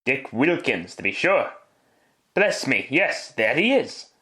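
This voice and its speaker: A man's voice: mid-range voice, very animated, takes it slowly, sharp tone, heavily acted. A man playing an old miser stirred by his memories, emphatic and earnest, in a classic radio drama. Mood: delighted.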